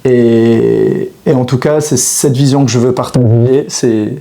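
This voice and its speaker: A man's voice: mid-range voice, sing-song, slow pace, vocal fry, dark tone. A man in his thirties, a fitness coach, talking reflectively to camera in a casual monologue, full of hesitations and restarts. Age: 30s.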